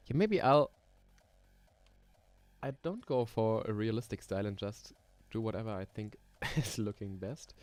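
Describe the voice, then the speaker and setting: A young man from Germany, speaking English with a German accent, his voice sitting a little low. He talks in a relaxed, unhurried way on a casual live stream, musing aloud with frequent ums and soft laughs while keyboard typing clicks behind him. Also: soft background music. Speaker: streamer building roller coasters.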